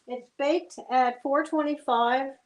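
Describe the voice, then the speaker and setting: An older woman from New Jersey walks through a family recipe step by step. Her voice sits a bit high, and her manner is homey and plain-spoken. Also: steady tone, slow pace, reverberant. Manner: homey, explains steps plainly. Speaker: guest cook. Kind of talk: cooking demonstration chat.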